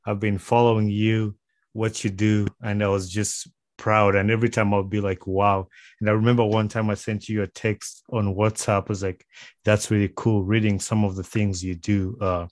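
A man's voice: on the low side, level tone, moderate pace, dry recording. A man with a Ugandan accent hosting a podcast in a casual, conversational interview style, speaking close to a studio microphone. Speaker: podcast host. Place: small home studio, indoors. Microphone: close podcast microphone on boom arm.

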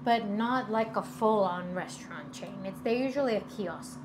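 A young Indonesian woman with a light Indonesian accent, co-hosting a cooking stream from her home kitchen in giggly, animated casual chat. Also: medium pitch, normal speed, background noise.

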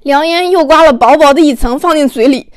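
High-voiced woman narrating an audiobook. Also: fairly quick, no room sound, studio quality. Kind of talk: expressive scripted storytelling, conversational.

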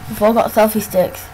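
A woman casually commenting on her gameplay, excitable and often exclaiming. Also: mid-range voice, a little hiss or hum, low-quality recording.

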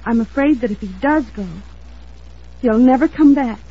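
Fearful, insistent young female lead for old-time radio: fairly high but husky, dramatic emphasis, lo-fi sound. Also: moderate pace. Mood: anxious, earnest. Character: anxious, insistent young woman fearing for her fiancé. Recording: dull lo-fi vintage radio recording.

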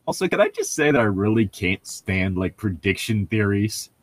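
A man's voice: on the low side, sing-song, normal speed, dry recording, studio quality. A man co-hosting a read-along show, chatting casually in an opinionated, rambling, sweary way. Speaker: co-host of a group read-along commentary show. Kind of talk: casual chat and mocking commentary.

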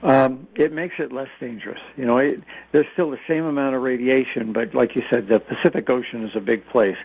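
A man's voice: medium pitch, steady tone, brisk, vocal fry, dry recording. An American man speaking as an expert guest in a radio interview, explaining things informally with stammering, hesitant starts. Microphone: telephone. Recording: tinny band-limited phone line.

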